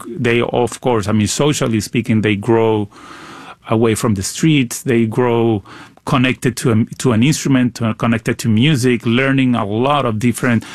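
A middle-aged man from Venezuela with a Venezuelan accent, answering interview questions in a halting way, often repeating words. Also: mid-range voice, no echo. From Caracas, Venezuela. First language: Spanish. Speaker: musician, interview guest. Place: radio studio.

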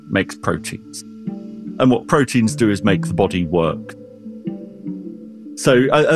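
A man who is an expert guest, explaining science in an interview with everyday analogies and frequent restarts. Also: mid-range voice.

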